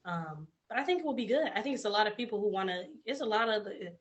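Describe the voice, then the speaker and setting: A woman hosting a relaxed conversation, chatty and trailing off with fillers. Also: mid-range voice, fast talker. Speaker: host. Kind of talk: casual chat.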